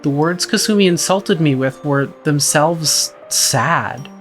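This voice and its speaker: A performer voicing a young female character who narrates in a quiet, measured first-person style, with faint music behind. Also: mid-range voice, close to an everyday voice. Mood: somber. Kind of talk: visual novel narration.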